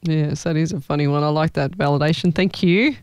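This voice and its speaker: A woman's voice: sits low, a little fast, a little mellow, no room sound, studio quality. A woman hosting a casual live chat as a psychic medium, talking in an informal, exclaiming way.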